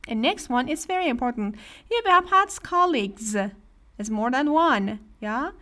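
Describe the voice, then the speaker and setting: A woman's voice: high voice, animated, moderate pace, pushed and bright. A woman with a Persian accent teaching English to adult beginners, patiently explaining grammar and asking students to repeat. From Iran. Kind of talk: classroom lesson for adult beginners.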